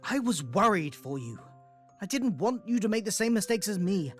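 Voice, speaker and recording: A man voicing a stern aristocratic patriarch, formal and indignant. His pitch moves in lively swings. A soft music score sits behind him. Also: moderate pace. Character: stern aristocratic older male estate patriarch. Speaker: Robert, lord of the estate.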